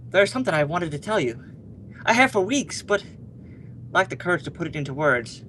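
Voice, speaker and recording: A man's voice: on the high side, big pitch swings, brisk, pushed and bright, slight background noise. A man playing an ardent, lovestruck young suitor in an old-time radio melodrama, earnest and declamatory. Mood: desperate, ardent.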